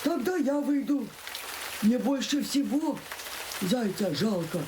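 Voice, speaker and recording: A woman voicing a small, kind sparrow who chirps and talks slowly and earnestly. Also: fairly high pitch, expressive, background noise, pitched above the performer's own voice.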